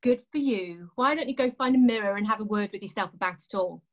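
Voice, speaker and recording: A woman's voice: medium pitch, fast talker, highs cut off, natural voice. A woman acting a blunt, sarcastic elder sister who holds a grudge, in a naturalistic drama. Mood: curt, irritated. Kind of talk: naturalistic stage drama dialogue.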